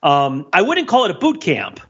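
A middle-aged man chatting casually as a podcast co-host in an animated, conversational way, on slightly lo-fi audio. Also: high-pitched, moderate pace, slightly rough. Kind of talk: casual chat.

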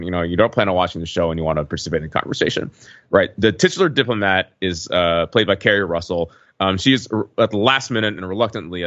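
Low-pitched American man, slightly dull lo-fi mic. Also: a bit bright, no echo. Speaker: podcast panelist. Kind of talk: casual conversational recap.

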